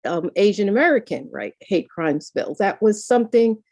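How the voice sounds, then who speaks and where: A woman tells a personal story at a community meeting, earnest and filling pauses with "you know". Her tone is warm and mellow. The sound is dry and close, as on a video call. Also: medium pitch, animated, dry recording. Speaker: student speaker at a town hall.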